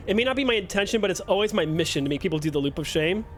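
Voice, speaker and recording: Young male streamer, high voice, playful self-mocking banter with chat, faint game music underneath. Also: expressive, fast talker. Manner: joking, self-mocking, chatting with viewers. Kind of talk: casual live-stream chat while playing.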